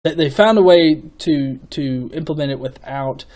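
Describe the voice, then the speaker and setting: Higher-pitched man talking off the cuff. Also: darkish tone. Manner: halting, stammering, repeating words; casual, profanity-laden. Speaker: video host. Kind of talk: off-the-cuff opinion commentary.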